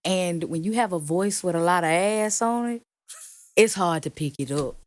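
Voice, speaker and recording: Woman, singer-songwriter guest; deep voice, emphatic and playful, dry close recording. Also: moderate pace, no echo. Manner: animated, emphatic, playful teasing. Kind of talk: casual interview chat.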